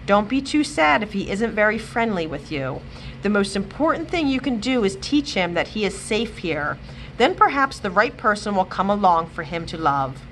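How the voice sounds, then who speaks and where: A woman voicing a calm, kind woman who fosters animals, in a storybook read-aloud. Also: mid-range voice, normal speed, a little hiss or hum.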